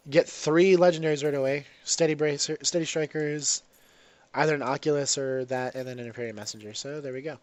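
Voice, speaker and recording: A man's voice: fairly high pitch, no echo. Congested man rambling over gameplay. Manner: rambling, self-correcting, frequent ums. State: congested from a cold. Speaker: gameplay commentator. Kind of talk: casual game commentary.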